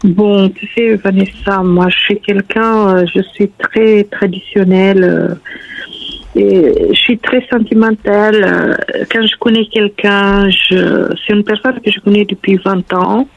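A woman's voice: mid-range voice, steady tone, measured pace, creaky, dry recording. A woman from Brazil with a Brazilian Portuguese accent, calling a radio show and speaking hesitantly and earnestly with many fillers over the phone. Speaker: radio caller. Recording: dull phone line.

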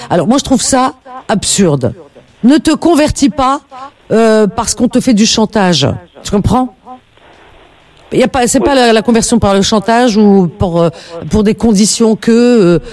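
A woman's voice: mid-range voice, mellow. A woman hosting a call-in radio show, lecturing her caller in an emphatic, indignant way.

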